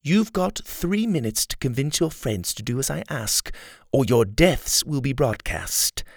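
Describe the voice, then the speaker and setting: A man voicing a smug scheming male rebel leader who taunts in a high, theatrical tone. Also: animated, measured pace, creaky, dry recording, clean studio sound. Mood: menacing. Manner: gloating, theatrical, high mocking tone.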